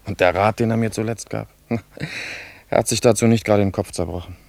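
Pompous young admirer, deep voice. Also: male voice, steady tone, a little fast, vocal fry, a little mellow, dry recording. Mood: scornful musing. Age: young adult. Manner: florid, pompous. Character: pompous, earnest young admirer, easily affronted. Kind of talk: German radio play acting, theatrical.

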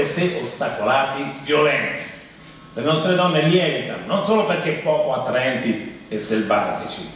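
A man from Rome reading a document aloud on stage in a formal, measured recitation. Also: fairly high pitch, fairly bright tone. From Rome, Italy. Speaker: monologue performer. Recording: very echoey, distant, faint noise.

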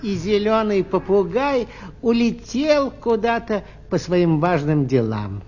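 Animated woman storyteller. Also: mid-range voice, slow, muffled recording. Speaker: narrator. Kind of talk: storytelling narration for children.

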